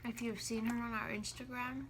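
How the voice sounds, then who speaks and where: A woman's voice: a little high, level tone, brisk, background noise. A woman talking casually in a food vlog, with a wry, teasing way of speaking. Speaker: food vlogger. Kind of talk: casual mukbang chat.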